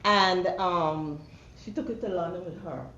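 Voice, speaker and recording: A woman's voice: fairly low pitch, measured pace, a bit bright. Woman, about 70, Guyanese accent, reflective personal storyteller; slightly muffled sound, faint noise. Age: older, about 70. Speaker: artist telling her story. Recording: dull sound with background room noise.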